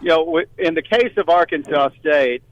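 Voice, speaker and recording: A man with a slightly high voice gives conversational sports analysis as a guest announcer. The sound is very dry and a little dull. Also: normal speed, dry recording.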